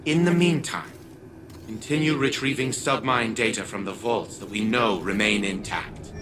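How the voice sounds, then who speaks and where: An actor playing an arrogant older scientist living on as an artificial mind, pompous and formal in a video game. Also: male voice, on the low side, moderate pace, noisy background, lightly acted. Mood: disdainful, imperious. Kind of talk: scripted video game dialogue. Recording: music behind the voice.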